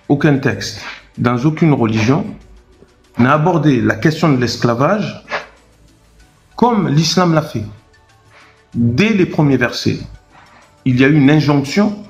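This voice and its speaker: A man speaking in a live online discussion, explaining slowly and deliberately, often restarting his phrases. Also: mid-range voice. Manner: measured, explanatory, with restarts and pauses. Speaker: guest speaker on Islam.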